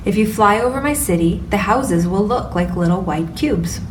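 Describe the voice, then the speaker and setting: A woman voicing a lively young girl from a big city in a storybook reading, recorded from a distance with background noise. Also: medium pitch, normal speed, roomy sound, close to an everyday voice.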